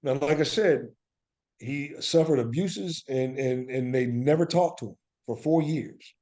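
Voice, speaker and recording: An American man speaking as an interview guest, telling stories in an animated, emphatic conversational way and often checking that the listener follows. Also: medium pitch, measured pace. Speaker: guest, actor and playwright.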